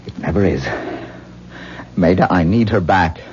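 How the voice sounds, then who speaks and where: An actor playing a self-absorbed, anxious husband who coaxes and evades in a 1970s radio drama. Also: male voice, low voice, a little slow, darkish tone, slight background noise. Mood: pressing, anxious. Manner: coaxing, evasive, pleading. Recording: muffled, dull old radio broadcast.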